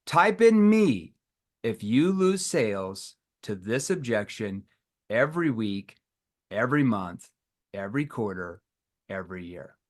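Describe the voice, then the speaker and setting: A middle-aged man leading a live sales training seminar, acting out prospect dialogue with pauses and rising tones. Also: lowish voice, very animated.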